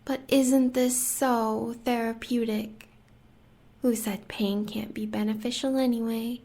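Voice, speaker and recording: A woman's voice: a little high, fairly even intonation, slow pace, mellow. An American woman playing a sadistic, seductive huntress villain in an audio roleplay, taunting and savoring her words, often in a whisper. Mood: sweetly taunting.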